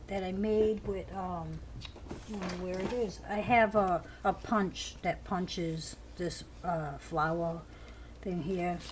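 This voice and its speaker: A woman's voice: mid-range voice, a little mellow. Scratchy-voiced woman showing a craft journal. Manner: chatty, describes each page as she flips. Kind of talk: casual show-and-tell.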